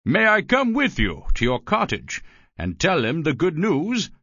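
A man voicing a gentle, polite old gentleman in a storybook reading, slow and courteous. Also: fairly high pitch, huge pitch swings, breathy edge, no room sound, highs cut off, voice pushed up, heavily acted. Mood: pleased.

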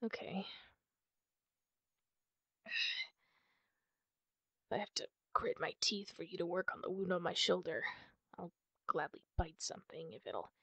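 A woman playing a wounded, guarded and prickly masked superheroine in an ASMR roleplay, half-whispering in a breathy, husky voice with halting, pained sighs. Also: on the low side, a bit bright, dry recording, heavily acted. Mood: pained, strained. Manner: halting, sighing, pained delivery.

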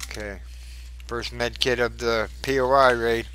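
Bright-voiced man narrating his gameplay. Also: mid-range voice, steady tone, measured pace, a little hiss or hum, low-quality recording. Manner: chatty, mutters to himself while fighting. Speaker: gaming channel host. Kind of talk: casual gameplay commentary.

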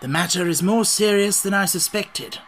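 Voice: mid-range voice, moderate pace, fairly bright tone, slight background noise, pitched above the performer's own voice, natural voice. A storybook reader voicing a genial, self-important scarecrow king who speaks in a pompous, declamatory way. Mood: pompous, reassuring.

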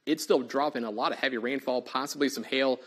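A man's voice: medium pitch, steady tone, quick pace, husky. A man, a TV meteorologist losing his voice, giving urgent live storm warnings full of fillers in a studio on a lapel mic. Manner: urgent, repetitive, filler-heavy live delivery. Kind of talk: live severe weather coverage. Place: TV studio in front of a weather wall.

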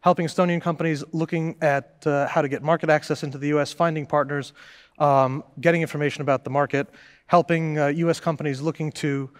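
A man's voice: on the high side, steady tone, long reverb tail. A man in his thirties from New York with a New York accent, a trade official answering questions eagerly on a panel. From New York, USA. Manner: fast, eager, with fillers. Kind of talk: panel interview. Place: stage studio on couches.

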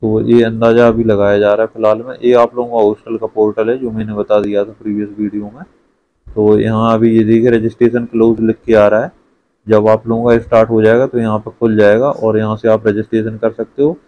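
A man's voice: on the low side, flat delivery, fairly quick, lo-fi sound. A young man giving a casual screen-share walkthrough as an education YouTuber, chatty and often adding "okay" to check in. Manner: chatty, repeats "theek hai" often.

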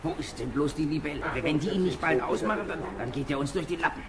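Quick and worried, an impatient police chief played by a man frets and gives orders in a radio play. There is loud bustle behind him. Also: a little high, a loud crowd, natural voice. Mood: anxious, impatient. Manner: worried, hurried, demanding. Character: anxious, impatient police chief directing a manhunt. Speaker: actor in an audio drama.

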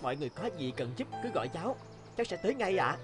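An actor voices an earnest, eager young fisherman in a cartoon fairy tale. He is brawny and good-hearted, his pitch swings widely, and music plays clearly behind him. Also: big pitch swings, rapid-fire, close to an everyday voice. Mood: humble, cheerful. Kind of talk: cartoon dub acting.

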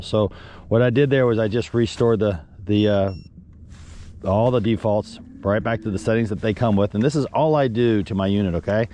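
A middle-aged man hosting a casual fishing vlog from a boat on a lake, upbeat and talking straight to his viewers. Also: on the low side. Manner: upbeat, talks straight to viewers, excitable when fish bite. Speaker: fishing guide and bait seller. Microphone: action camera on the boat.

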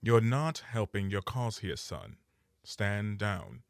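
A man playing a stern, commanding superhero mentor in a cartoon fandub, gruff and husky, with formal authority. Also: on the low side, big pitch swings, takes it slowly, no echo, studio quality, exaggerated voice.

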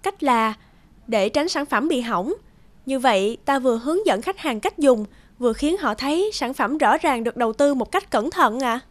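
Polite high-voiced young woman. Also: animated, normal speed. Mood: earnest. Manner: polite, deferential. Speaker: young office employee. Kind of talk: cartoon voice acting.

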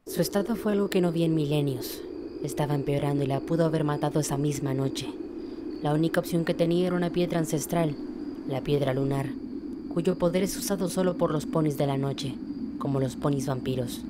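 Woman as a deep-voiced regal moon princess. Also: heavy background noise, natural voice. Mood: grave. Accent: Latin American Spanish. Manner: formal, measured, solemn. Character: ancient regal alicorn mare, a patient moon princess. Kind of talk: fan dub of a comic. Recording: music under the voice.

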